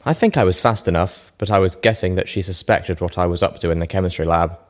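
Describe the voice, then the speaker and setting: A man narrating a first-person fantasy story from a script, measured and expressive. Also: low-pitched. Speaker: narrator of a fan fiction reading show.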